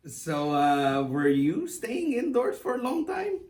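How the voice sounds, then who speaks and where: A man's voice: high voice, wildly swooping pitch, takes it slowly, a little mellow. A man informally hosting a puppet show, improvising loosely with a hesitant delivery.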